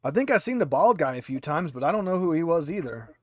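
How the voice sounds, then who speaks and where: A man's voice: a little high, very animated, fast, dry recording, clean studio sound. A man voicing a drunk, grumbling female pilot at a bar in a tabletop game, hesitant and boozy. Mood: tipsy, griping.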